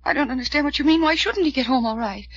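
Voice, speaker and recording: A woman's voice: high voice, fast talker, no echo. A woman playing a distraught young heiress, pleading melodramatically in an old-time radio drama. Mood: anxious. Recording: dull, dry old radio recording.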